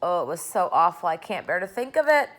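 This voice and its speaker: Woman doing a gentle, tearful lady spider. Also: on the low side, big pitch swings, fast talker. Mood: tender. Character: soft-hearted female spider.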